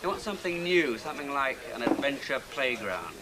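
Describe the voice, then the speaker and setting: Fast-talking, put-upon community worker played by a man. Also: medium pitch, sing-song, very noisy, lightly acted. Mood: appreciative, earnest. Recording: location sound with children's hubbub behind.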